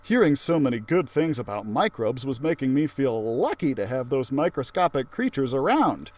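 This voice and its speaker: A man reading a children's storybook aloud in an expressive way, acting out the characters' lines as he goes. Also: mid-range voice, normal speed, slight background noise.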